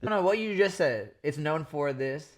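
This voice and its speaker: A man's voice: fairly high pitch, animated, moderate pace. A man with an American accent hosting a casual podcast interview in a very informal, slangy way, swearing freely and reacting often. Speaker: podcast host.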